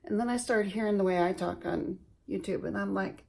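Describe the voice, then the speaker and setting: Gravelly, husky-voiced woman vlogger. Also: medium pitch, darkish tone. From Alaska, USA. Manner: slow-talking, chatty, self-deprecating. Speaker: beauty vlogger. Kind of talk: chatty makeup tutorial.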